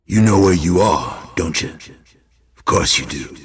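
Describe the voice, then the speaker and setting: An actor playing a taunting, cold-blooded killer who styles himself a god in a video game, speaking in a theatrical drawl. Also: male voice, sits low, lively intonation, unhurried, husky, dry recording, clean studio sound, full character voice. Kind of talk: dramatic video game villain monologue.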